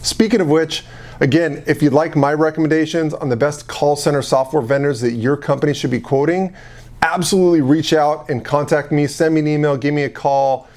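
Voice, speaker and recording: A man's voice: a little high, fairly even intonation, a little fast, a little mellow. A man presenting an informal explainer as a consultant, talking straight to the viewer in a conversational, salesy way. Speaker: call center software consultant and video presenter. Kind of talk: informal explainer talk to camera.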